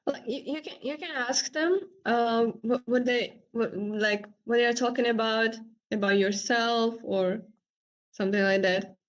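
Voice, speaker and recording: Young woman, relaxed casual chat, brighter higher pitch. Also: unhurried, lo-fi sound. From Uzbekistan. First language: Uzbek. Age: young adult. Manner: relaxed, frequent "like" and "actually". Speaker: language exchange partner.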